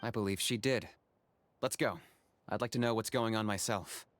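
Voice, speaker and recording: A man's voice: lowish voice, normal speed, noisy background, close to an everyday voice. Man acting a level-headed, restrained warrior. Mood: curious, calm. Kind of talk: dramatic game voice acting.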